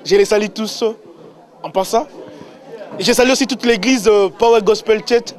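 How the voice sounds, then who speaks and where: A man hosting a religious interview in a halting, repetitive way. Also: high-pitched. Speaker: religious show host.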